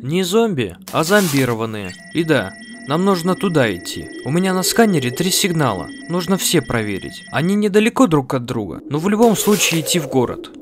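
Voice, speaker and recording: A man voicing a bossy, curt scientist leading an expedition in an animated web series, insistent and businesslike. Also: a little high, fairly quick, hardly any pauses, music in the background.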